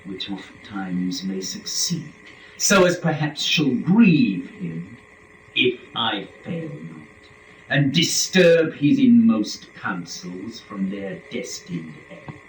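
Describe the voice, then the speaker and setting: In a roomy, distant recording, a proud fallen angel, a male rebel lord of hell, declaiming verse grandly and slowly. The pitch swings widely as the defiant speech swells and drops. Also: sing-song. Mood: scornful, resolute. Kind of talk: dramatic reading of epic verse. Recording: roomy, distant mic, faint noise.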